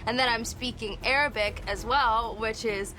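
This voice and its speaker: A young actress in a behind-the-scenes interview, talking in a bubbly, excitable way. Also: female voice, on the high side, moderate pace, poor audio. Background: music.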